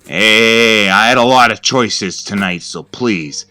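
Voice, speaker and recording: A man's voice: on the low side, takes it slowly, bright, forceful tone, smooth, soft background music, pitched above the performer's own voice. A man voicing a sadistic, hungry cannibal killer who taunts and savors in a horror story. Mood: taunting. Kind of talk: horror story character acting.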